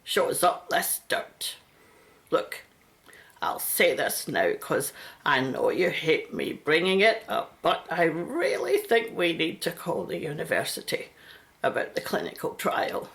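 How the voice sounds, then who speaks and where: A woman acts a homely mother and carer in a radio drama. Her voice is soft, sometimes breathy, and moves up and down as she coaxes gently. There is an anxious tenderness to her. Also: sits low, big pitch swings, slow pace, exaggerated voice. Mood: worried, pleading. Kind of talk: radio play acting.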